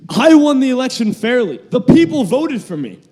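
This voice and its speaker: A young man playing an arrogant teenage boy who has lost his school office, singing slowly before speaking defiantly. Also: very high-pitched, very animated, a little mellow, in a large hall. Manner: slow, drawn-out singing, then defiant speech. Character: arrogant teen boy, ousted class president. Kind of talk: singing and amateur staged-reading acting.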